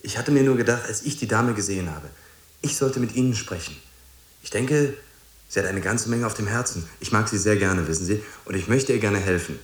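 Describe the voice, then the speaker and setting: A male actor plays a young man in a radio drama, earnest and a little shy. His voice sits fairly low and he speaks hesitantly, yet keeps gently pressing. The space sounds echoey. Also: a little fast, natural voice. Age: young adult. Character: earnest, persistent young man, shy but insistent visitor.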